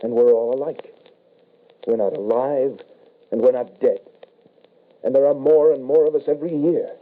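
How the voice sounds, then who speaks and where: An actor playing an undead shapeshifting son, calmly menacing and apologetic, in old-time radio horror style. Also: male voice, medium pitch, normal speed, lightly acted. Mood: matter-of-fact. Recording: tinny, band-limited vintage radio broadcast.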